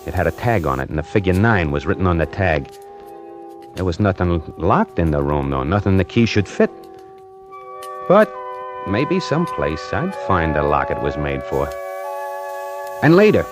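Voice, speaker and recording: An actor playing a streetwise, slangy, hard-up necktie salesman who narrates an old-time radio suspense play in a dramatic style. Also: low voice. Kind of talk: 1940s radio suspense acting, first-person narration. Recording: old radio broadcast with music behind.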